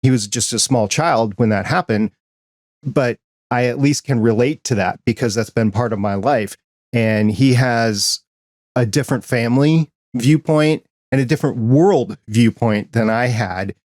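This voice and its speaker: A man from the American Midwest hosting a podcast, speaking in an earnest, measured way. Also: medium pitch, darkish tone, dry recording, studio quality. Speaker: podcast host. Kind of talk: casual interview chat.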